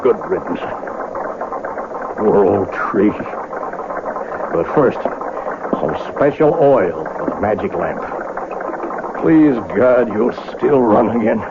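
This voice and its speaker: A man acting an obsessed, furtive clockmaker in a radio drama, theatrical and intense, halting when nervous. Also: fairly low pitch, expressive, background noise. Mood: tender, desperate. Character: obsessed, furtive, irritable male clockmaker with a guilty secret. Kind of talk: radio drama acting with first-person narration. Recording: tinny vintage radio broadcast with music.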